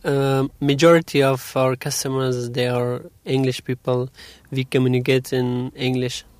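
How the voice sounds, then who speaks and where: A man's voice: medium pitch, flat delivery, no room sound. Hesitant young man. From Afghanistan. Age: young adult. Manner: hesitant, halting, many pauses. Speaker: interviewee, English learner. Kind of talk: interview answers.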